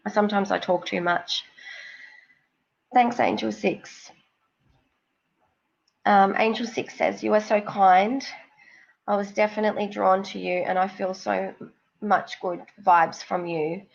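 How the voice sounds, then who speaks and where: A woman with a cold and a snuffly nose, giving psychic card readings on a live stream in a slow, rambling, reassuring way. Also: medium pitch, gravelly, dull audio.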